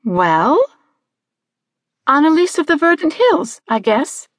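A woman's voice: high voice, very animated, takes it slowly, no room sound, studio quality, voice pushed up, full character voice. A woman voicing a polite, plucky but unsure young girl in a storybook, with an innocent manner. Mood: hesitant, puzzled.

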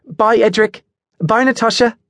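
A male narrator puts on a bright young woman for a storybook. The voice sits well above his own and moves in lively curves. She is chatty, precise and matter-of-fact, oblivious to anyone around her. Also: on the high side, normal speed, dry recording, clean studio sound, voice pushed up. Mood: cheerful. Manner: cheerful, precise, lecturing, unruffled.

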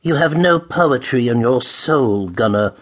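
A man voicing a big, dour Mercurian spaceman in a deep, gruff growl for an audiobook. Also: expressive, measured pace. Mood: grumbling. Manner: dour, grumbling.

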